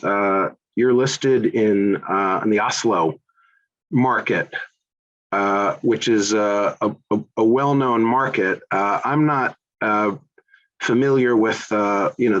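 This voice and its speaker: Hesitant lowish-voiced male interviewer. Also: takes it slowly, fairly bright tone, dull audio. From United States. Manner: hesitant, conversational, repeats words. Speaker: interviewer, podcast host. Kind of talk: business interview questions.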